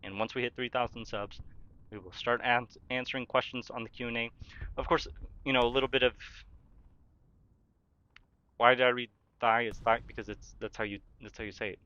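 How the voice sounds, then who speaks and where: Bright-voiced young man streaming games. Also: medium pitch, fairly even intonation, a little fast, pauses often, smooth, no room sound, highs cut off. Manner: chatty, reads chat aloud and answers while narrating play. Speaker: livestreamer. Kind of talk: casual live-stream chat while gaming.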